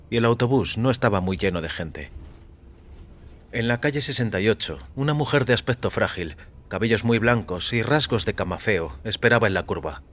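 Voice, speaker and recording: A man's voice: fairly low pitch, a bit bright. A man narrating a scripted first-person crime story for audio fiction.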